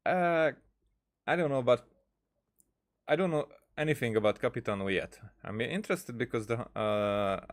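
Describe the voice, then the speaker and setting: A man streaming a game with casual commentary, thinking aloud in a halting way and repeating words. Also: medium pitch. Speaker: gaming streamer.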